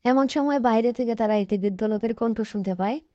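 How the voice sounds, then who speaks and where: A female narrator reads a scripted cartoon story. Her voice sits a little high with a dark, relaxed tone, and the sound is very dry and slightly lo-fi. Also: a little fast, no echo. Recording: dull, dry lo-fi track.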